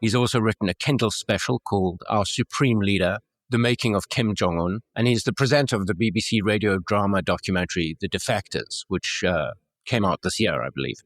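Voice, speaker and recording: A middle-aged man hosts an interview podcast in a measured, articulate way. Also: on the low side, no room sound. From South Africa. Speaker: podcast host.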